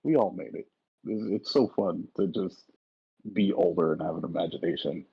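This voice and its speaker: A man chatting casually and effusively in a halting, slangy way on a slightly muffled mic. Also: deep voice, vocal fry, husky. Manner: halting, uses y'all and slang. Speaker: voice actor.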